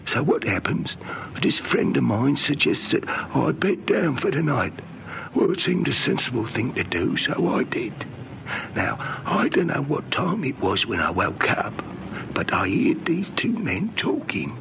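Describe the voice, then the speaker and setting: An actor playing a garrulous old hobo informant in an old radio drama, eager and halting, repeating his words in colloquial speech. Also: medium pitch, big pitch swings, gravelly, a bit bright, heavily acted. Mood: confiding, rambling. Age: older. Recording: noisy vintage radio drama recording.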